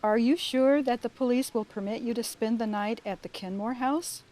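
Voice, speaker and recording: Woman acting a worried-type best friend, slightly high soft mellow voice, gentle, audio drama. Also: moderate pace, a little hiss or hum, natural voice. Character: concerned, cautious female friend of the reporter.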